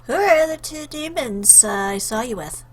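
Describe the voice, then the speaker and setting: A woman's voice: high voice, lively intonation, moderate pace, slightly rough. A woman giving casual, jokey commentary as she plays a game, reading choices aloud in a playful, theatrical, teasing way.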